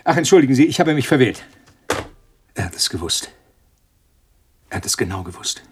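An actor in a radio drama playing a cornered male scientist, agitated and halting, repeating himself as he panics and pleads. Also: mid-range voice, very animated, husky, full character voice. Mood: flustered, then desperate. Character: cornered, panicking male molecular biologist pleading with his tormentor.

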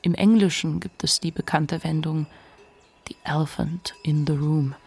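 An actor playing a reflective, ashamed narrator confiding a memoir in a measured literary radio monologue. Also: mid-range voice, steady tone, creaky, a little mellow, breathy edge. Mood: reflective, confessional.

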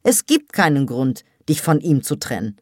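A woman's voice: a bass voice, sing-song, moderate pace, a little mellow, no echo, heavily acted. Woman acting older mother, audiobook dialogue, stern, scolding, dismissive, insistent, dry sound. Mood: reproachful. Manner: scolding, pressing with rhetorical questions.

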